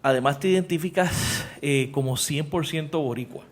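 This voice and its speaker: A man hosting a news podcast, asking questions in a measured, conversational way with fillers. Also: on the high side. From Puerto Rico. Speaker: podcast host.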